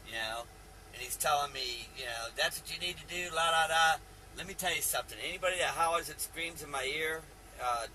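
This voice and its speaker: A man's voice: a little high, bright, forceful tone, slight background noise, low-quality recording. A man in his fifties giving a rambling religious vlog monologue, earnest and insistent, with folksy phrasing that keeps addressing listeners directly. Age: 50s. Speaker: video channel host.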